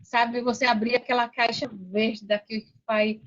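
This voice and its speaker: A Brazilian woman in her forties with a fairly high voice speaks as a guest coach in a casual motivational chat. She is earnest and emphatic, repeating phrases for weight. The audio is a little dull. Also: level tone, normal speed, a crowd in the background. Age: 40s. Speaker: podcast guest, affiliate marketing coach. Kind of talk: casual motivational interview talk.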